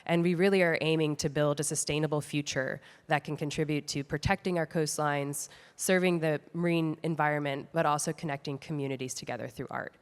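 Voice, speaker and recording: A woman's voice: deep voice, steady tone, fairly quick, hardly any pauses, clear, resonant tone. A woman presenting a project to a conference as one of a team of speakers. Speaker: presenter of a coastal art project. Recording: reverberant hall.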